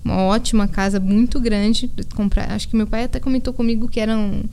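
A woman's voice: medium pitch, fairly even intonation, fairly quick, dark tone, slight background noise. A woman, a podcast guest in casual chat, telling a family story with slangy fillers.

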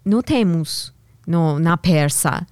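Persian-accented woman guest, halting, hunts for words, dry close sound. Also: on the low side, lively intonation, darkish tone, no room sound. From Iran. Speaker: podcast guest. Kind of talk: casual interview answers.

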